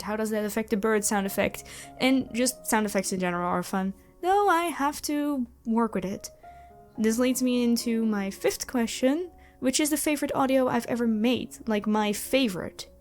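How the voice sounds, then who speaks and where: A young woman with a fairly high voice talks through viewer questions in a chatty way. Her delivery is expressive, stretching some words for emphasis. Music plays softly behind her. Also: normal speed. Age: young adult. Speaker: ASMR audio roleplay creator and voice actor answering questions. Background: background music.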